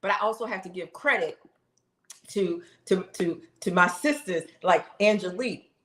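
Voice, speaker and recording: A middle-aged woman streaming live, telling viewers her own story in a casual, animated way and talking straight to them. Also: fairly low pitch, moderate pace, roomy sound. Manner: animated, talks straight to the audience, exclaims, laughs. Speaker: live streamer sharing her health journey.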